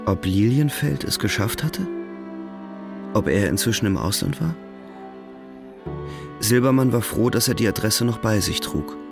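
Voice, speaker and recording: Lowish-voiced male literary narrator over music. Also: animated, measured pace, gravelly, pauses often.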